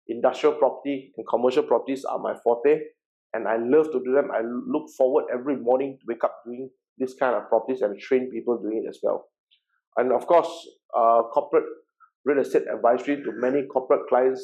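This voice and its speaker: A man's voice: medium pitch, darkish tone, lo-fi sound. A man in his forties with a Malaysian accent, giving an informal seminar presentation in a chatty way, repeating words and checking in with "okay". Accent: Malaysian English. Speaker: workshop presenter and real estate agency founder.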